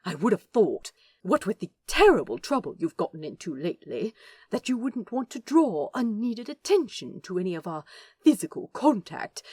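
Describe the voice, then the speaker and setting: A woman's voice: medium pitch, big pitch swings, normal speed, a little mellow, slightly rough, no room sound, clean studio sound, pitched above the performer's own voice. Lady doing a prissy flustered angel guy, all indignant and fussy, voice jumps way up and down, story reading. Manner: fussy, indignant, old-fashioned exclamations. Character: prissy, flustered male angel, easily scandalised.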